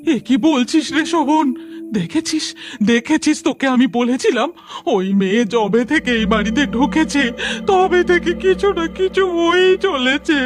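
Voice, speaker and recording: A woman's voice: high-pitched, measured pace. A woman voicing a spiteful older mother-in-law who nags and blames, in a cartoon. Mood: blaming. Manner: nagging and blaming. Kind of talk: cartoon voice acting. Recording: music bed behind the voice.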